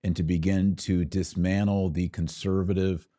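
Low-voiced man doing casual commentary. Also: level tone, moderate pace, dark tone. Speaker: podcast host giving political commentary. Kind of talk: casual opinionated commentary over clips.

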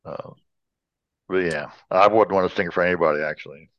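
A man's voice: low-pitched, a little fast, no room sound, dull audio. An American man, middle-aged or older, co-hosting a casual podcast, chatty and anecdotal with a joking manner. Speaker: podcast co-host. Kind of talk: casual chat.

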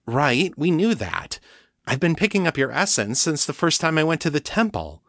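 A man's voice: on the high side, sing-song, moderate pace, a bit bright, dry recording, studio quality, pitched above the performer's own voice. Man voicing a frantic pleading young woman. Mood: alarmed. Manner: rising, urgent pleading.

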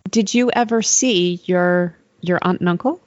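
A woman hosting a podcast interview, attentive and encouraging, with frequent soft affirmations. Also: lowish voice, unhurried, highs cut off. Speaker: podcast host. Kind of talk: conversational interview.

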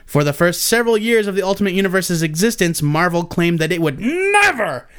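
A man co-hosting a comics podcast, reading news items in a measured, dry way and chatting. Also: high-pitched, very animated, a bit bright. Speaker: podcast co-host.

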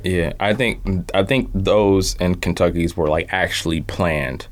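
A man's voice: sits low, measured pace. A man speaking as a podcast guest in a laid-back, informal, slangy way. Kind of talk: casual podcast chat about sneakers.

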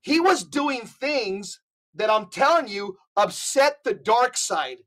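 A man's voice: extremely high pitch, lively intonation, slow, fairly bright tone. Fired-up guy guesting on a podcast, emphatic, keeps restarting his sentences. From United States. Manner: emphatic, fervent, with frequent restarts. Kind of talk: conversational podcast talk.

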